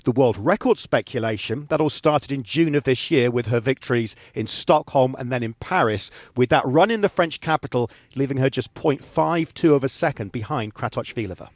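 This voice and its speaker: A man's voice: mid-range voice, normal speed, hardly any pauses, no room sound. Male athletics commentator, very dry sound. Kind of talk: scripted sports report.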